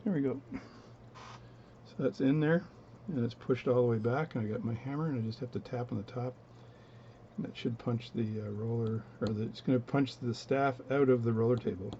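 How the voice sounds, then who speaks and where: A man with a low voice talking through his watch repair at a home workbench, relaxed and rambling as he narrates each step. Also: normal speed, highs cut off, slight background noise. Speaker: watchmaking hobbyist demonstrating. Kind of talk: casual bench demonstration, talking while working.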